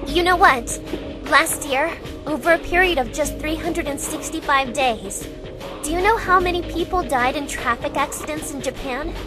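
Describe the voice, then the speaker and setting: Composed, caring young woman, played by an actress: high voice, first-person visual novel narration, music underneath. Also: normal speed, lightly acted. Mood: reassuring, explanatory.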